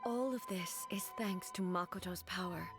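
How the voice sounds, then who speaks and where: A woman voicing a grave, composed female ruler-goddess in a game, speaking calmly and deliberately. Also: on the low side, natural voice. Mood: solemn. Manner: calm, deliberate and earnest. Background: game soundtrack music.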